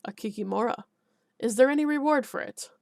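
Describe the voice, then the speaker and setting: Curt monster hunter, a man of few words, flat and grim; a woman voices him lightly. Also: fairly high pitch, brisk, gravelly, dry recording, studio quality. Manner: terse, flat.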